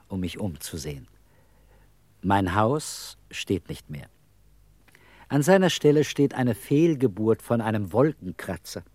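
An actor playing a bitter, wry homeless drifter in a German radio play, confessing in halting phrases with sudden dramatic emphasis. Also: male voice, on the low side, darkish tone, no room sound. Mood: wistful, bitter.

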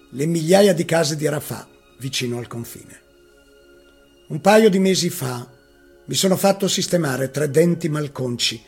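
A man's voice: fairly high pitch, darkish tone, breathy edge. A man giving a dramatic reading of a first-person eyewitness account. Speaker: reader. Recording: music playing behind the voice.

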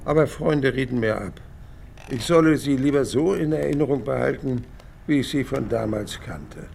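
A man's voice: medium pitch, normal speed, gravelly, darkish tone, slight background noise. An actor playing a hundred-year-old male radio playwright who rambles through his memories, wistful and proud, in a reflective radio drama monologue. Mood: wistful reminiscing. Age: 100. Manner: rambling, reflective, emphatic swings between murmur and outburst.

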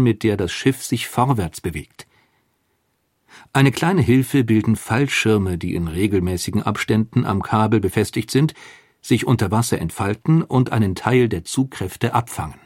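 A man reading a scripted documentary narration in a measured, factual way. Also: on the low side, a little mellow, breathy edge, no room sound, studio quality.

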